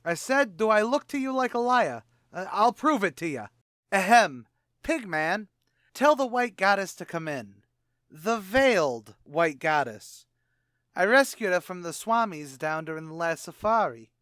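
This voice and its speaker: A man playing a boastful, stammering tavern manager in a 1940s-style radio comedy, bluffing with rough grammar. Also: high voice, sing-song, a little slow, a bit bright, no echo, studio quality, full character voice.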